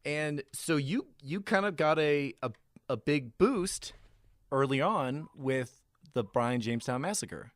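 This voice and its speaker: A man's voice: fairly high pitch, lively intonation, a little slow, no room sound, clean studio sound. A man working as a radio DJ, interviewing a guest in a casual, enthusiastic way. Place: radio station.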